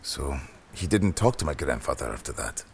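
A man voicing a soft-spoken older man recounting a painful family history. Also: very deep voice, sing-song, normal speed, vocal fry, husky, voice pushed down, heavily acted. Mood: somber.

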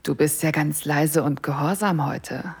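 An actress playing a teasing, commanding wife in an intimate erotic audio drama, with a husky, breathy, creaky voice. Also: female voice, low voice, unhurried, darkish tone, full character voice.

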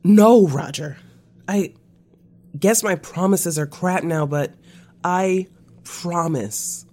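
Slow-talking, remorseful confessed spy. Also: female voice, lowish voice, dark tone. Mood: guilty, insistent. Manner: code-switches between English and Spanish. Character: remorseful confessing former spy, slangy and earnest. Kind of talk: naturalistic audio drama acting.

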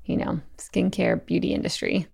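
Upbeat woman podcast host, gravelly edge. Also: on the low side, very animated, moderate pace, a little mellow, dry recording. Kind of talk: interview podcast with scripted intro and ad.

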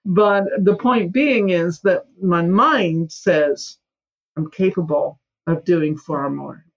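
A woman's voice: on the low side, takes it slowly, dull audio. A woman in her seventies from Scotland, a guest in a podcast interview, speaking emphatically and sometimes mimicking whiny excuses. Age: 70s.

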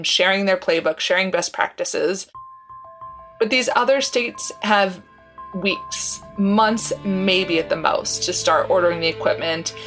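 Lowish-voiced woman political reporter. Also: a bit bright. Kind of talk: interview answers.

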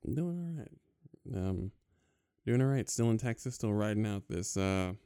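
Man, podcast co-host, low, resonant, hesitant, casual chat. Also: expressive, warm tone, clear, resonant tone, no room sound, clean studio sound. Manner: halting, many fillers.

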